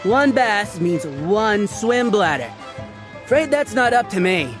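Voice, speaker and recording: An actor playing a proud, fussy fish seller in a video game, with an indignant street-hawker patter. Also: male voice, high voice, very animated, takes it slowly, heavily acted. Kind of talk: video game cutscene dialogue. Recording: game audio with background music.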